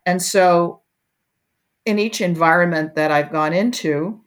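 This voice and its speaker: Low-voiced older woman, reflective and halting. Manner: reflective, many fillers and restarts. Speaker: guest, education researcher. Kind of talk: conversational interview.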